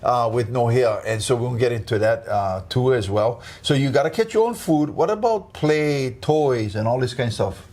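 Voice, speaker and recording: A man's voice: medium pitch, expressive, moderate pace, few pauses, a little mellow. An interview host, a man with a mid-range voice. He leads with eager, prompting questions and sprinkles in words. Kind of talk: interview hosting.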